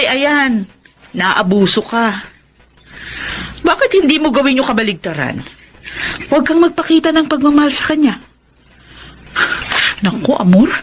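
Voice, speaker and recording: High-pitched, scolding Filipino sister. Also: female voice, a little hiss or hum. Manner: scolding, emphatic. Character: exasperated older sister, blunt and protective. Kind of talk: Tagalog radio drama acting.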